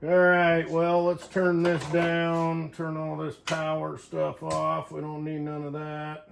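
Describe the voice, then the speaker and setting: A man's voice: a little high, completely flat delivery, slow pace, gravelly, background noise. A man talking casually to viewers in a folksy, unscripted way, thinking aloud as he tinkers through a hands-on demonstration. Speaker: hobbyist CB radio vlogger.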